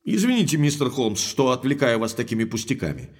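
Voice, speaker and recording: A man's voice: medium pitch, fairly quick, husky. An actor playing a hot-tempered, indignant baronet in an audio drama, emphatic and agitated in his speech. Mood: calmer, puzzled. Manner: agitated, emphatic, courteous when calming.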